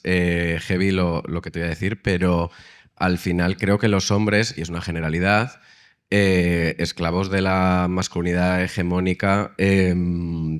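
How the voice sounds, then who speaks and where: A reflective man answers on a stage panel, pausing often and hedging as he thinks. His voice sits very low in pitch. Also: clear, resonant tone. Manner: hesitant, reflective, many fillers. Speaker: actor.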